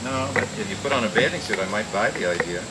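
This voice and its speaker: Actor as wisecracking private eye, noisy recording. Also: male voice, fairly low pitch, expressive, fast talker, natural voice. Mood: wry, defiant. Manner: wry, flippant delivery. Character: wisecracking, tough but playful male private detective. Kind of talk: old-time radio detective drama acting with first-person narration. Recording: noisy old radio recording.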